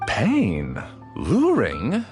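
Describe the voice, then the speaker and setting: A man's voice: medium pitch, huge pitch swings, extremely slow, darkish tone, soft background music, exaggerated voice. A man playing a smug, bitter game villain, theatrical and condescending. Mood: smug, mocking. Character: smug, bitter villain, self-styled master of dreams. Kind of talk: scripted video game voice acting.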